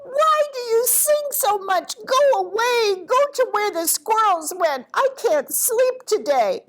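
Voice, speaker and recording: A woman's voice: expressive, slow pace, full character voice, rough recording. A woman voicing a fretful, sleepy male owl in a children's story, with plaintive, repetitive hooting cries. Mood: agitated, pleading. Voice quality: high-pitched hooting.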